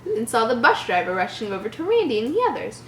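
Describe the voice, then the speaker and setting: A woman's voice: a little high, sing-song, fast, a bit bright. A woman reading a horror story aloud and breaking in with sarcastic, exasperated commentary. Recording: roomy echo, faint background noise.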